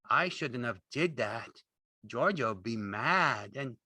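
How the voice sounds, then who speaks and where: Big childlike slow-witted farmhand, high-pitched. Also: male voice, very animated, sharp tone, no echo, heavily acted. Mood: remorseful. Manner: simple, earnest, ungrammatical rural speech. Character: big childlike slow-witted man, gentle, easily panicked. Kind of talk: audiobook character acting.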